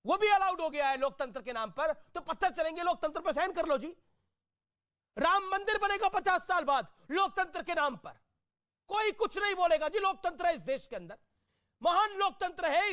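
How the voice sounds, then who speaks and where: A man's voice: very high voice, bright, forceful tone. A man anchoring a television debate, combative and sarcastic, repeating phrases as he rants. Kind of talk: heated TV debate.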